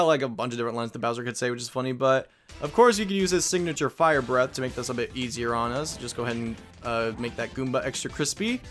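A man hosting a video game playthrough with casual, playful commentary. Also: mid-range voice. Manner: joking, playful. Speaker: Let's Play host.

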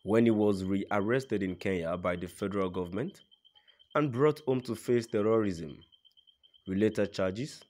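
A man's voice: on the low side, measured pace, darkish tone. A man narrating a scripted news report in a formal read-aloud manner.

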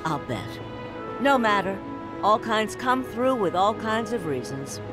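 A woman's voice: mid-range voice, very animated, a little slow. A woman playing a shrewd older landlady in a video game, with a folksy, knowing, teasing way of talking. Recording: game music behind the voice.

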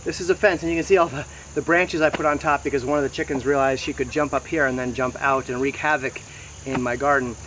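A man in his thirties giving a casual, explanatory walking tour to camera, pausing now and then mid-sentence. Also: mid-range voice, fairly even intonation, fairly bright tone. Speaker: host giving a garden tour. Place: outdoors in a wooded backyard garden. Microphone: camera mic several meters away. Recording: distant camera mic, noisy outdoor ambience. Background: insects and outdoor noise.

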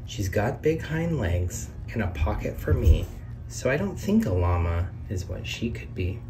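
A man's voice: fairly low pitch, sing-song, unhurried, distant mic, over music, poor audio. A man reading a children's picture book aloud in a sing-song way, lifting his voice on each question and reveal. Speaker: read-aloud narrator. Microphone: camera over the book, hands on pages.

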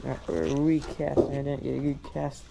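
A man talks casually to the camera in an easy, chatty way while he is outside by a creek. His voice sits at an ordinary middle pitch. Clear outdoor noise and handling from a chest-mounted action camera run under his voice. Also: normal speed. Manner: relaxed, chatty narration to camera. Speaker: vlogger. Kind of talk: casual first-person commentary.

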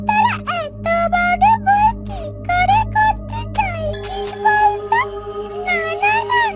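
A tiny, anxious cartoon bird with an extremely high, squeaky pitch. The bird pleads slowly, stretching out its words, over prominent music. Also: female voice. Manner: slow, drawn-out, pleading. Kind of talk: children's cartoon voice acting. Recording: music under the voice.

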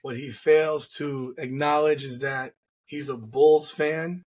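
A hoarse man, getting over the flu, hosting a casual sports podcast with wry, teasing remarks over a video call from home. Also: on the high side, fairly even intonation, slow pace. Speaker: podcast host. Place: home room with shelves. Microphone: video call, headset.

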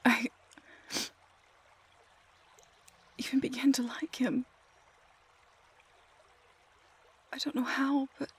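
A woman playing a heartbroken young woman lost in a fairy-tale forest, in an emotional audio roleplay, halting and often dropping to a whisper. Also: sits high, husky, full character voice. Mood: wistful.

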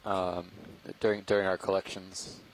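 A man giving live scientific commentary on a deep-sea dive. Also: level tone, moderate pace, low-quality recording. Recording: faint background noise.

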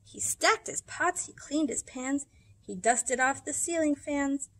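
A woman in her thirties reading rhyming picture books aloud to children, with dramatic, deliberate storybook phrasing. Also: high-pitched, fairly bright tone. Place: indoors in a library room.

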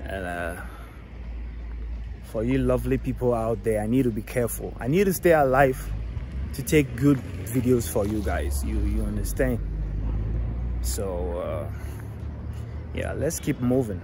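A young man films himself on a phone held out at arm's length as he walks a town street. He talks slowly and casually to his viewers. Clear traffic noise runs behind him. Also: medium pitch, lively intonation. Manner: chatty, repeats shout-outs and asks viewers to subscribe. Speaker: travel vlogger. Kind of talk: casual walking-tour vlog. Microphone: phone camera held at arm's length.

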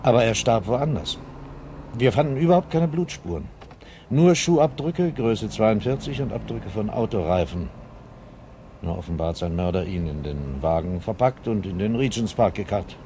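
An actor playing a gruff, sarcastic Scotland Yard chief inspector in a 1970s German radio crime drama, mocking and needling. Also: male voice, lowish voice, lively intonation, normal speed, warm tone, a little hiss or hum. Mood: matter-of-fact.

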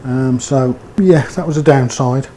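A man's voice: medium pitch, a little slow, warm tone, a little hiss or hum. A man giving a casual, rambling motorbike review, with fillers and hesitations. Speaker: motovlogger.

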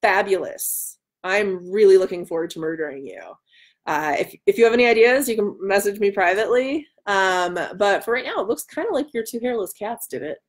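A woman in her thirties hosting a casual live stream from home, loud, excitable and bantering as she answers comments. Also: mid-range voice, brisk. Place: room at home by a large window.

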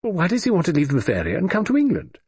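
A husky-voiced man voicing an elderly aristocratic great-aunt who is brisk, teasing and dry-witted. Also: lowish voice, huge pitch swings, a little mellow, no room sound, voice pushed up, full character voice. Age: older.

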